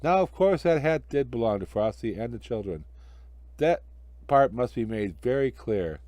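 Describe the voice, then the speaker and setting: Male narrator for a children's tale: expressive, animated pitch, dry close sound. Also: moderate pace, no room sound. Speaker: storyteller.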